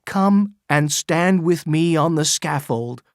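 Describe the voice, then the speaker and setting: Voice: medium pitch, animated, slow pace, no room sound, studio quality. A storyteller voicing a dying young male minister who speaks in a solemn, declaiming way. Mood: resolute.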